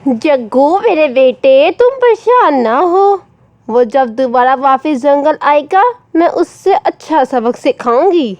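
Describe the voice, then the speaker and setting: A woman's voice: high voice, expressive, takes it slowly, darkish tone, dry recording. A woman voicing a protective, indignant mother bird in a children's cartoon. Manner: indignant, emphatic. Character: protective, scolding mother bird, fierce for her son. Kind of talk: children's cartoon story acting.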